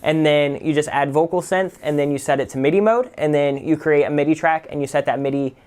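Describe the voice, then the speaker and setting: Guy doing a casual music production tutorial, voice a bit on the high side, explainy and informal. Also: fairly quick, a little mellow, smooth. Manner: informal, explanatory, full of "like" and "kind of". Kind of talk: casual, unscripted software tutorial.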